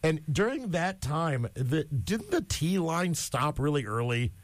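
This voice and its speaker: A man's voice: fairly high pitch, sing-song, measured pace, no echo. A young man hosting a college radio interview, chatty and joking, rambling with fillers. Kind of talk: casual interview.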